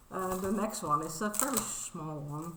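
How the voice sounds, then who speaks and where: A woman's voice: lowish voice, echoey room, background noise. A Dutch woman in her thirties, a native Dutch speaker talking casually in English on her crafting vlog, hesitant and full of fillers and restarts, modest and apologetic. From Netherlands. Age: 30s. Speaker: crafting vlogger. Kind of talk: casual show-and-tell vlog.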